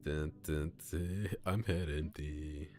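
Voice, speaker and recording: A man's voice: rumbling low, fairly even intonation, slow pace, over music. A man streaming a game, reading his choices aloud with chatty comments and frequent laughs.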